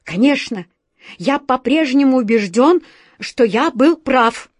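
A woman's voice: high-pitched, animated, slow pace, no room sound, clean studio sound, pitched above the performer's own voice, exaggerated voice. A woman voicing a nervous office director, a grown man who pleads and stammers in panic. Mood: panicky.

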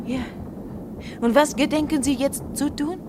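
A woman's voice: high-pitched, steady tone, unhurried, background noise. An actress playing a coy, flirtatious woman traveller with a teasing, faintly reproachful manner in a radio play. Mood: curious, concerned.

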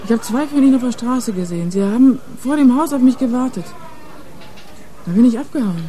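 A woman's voice: fairly high pitch, expressive, fairly quick, mellow, natural voice. An actress playing a streetwise nineteen-year-old club girl turned informant in a radio crime drama, slangy and blunt. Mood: frightened, urgent.

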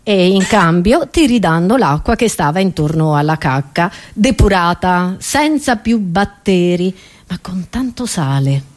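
A woman's voice: fairly low pitch, lively intonation, measured pace. A woman comedian, delivering a satirical monologue in a theatrical, exclamatory way, speaking straight to her listeners.

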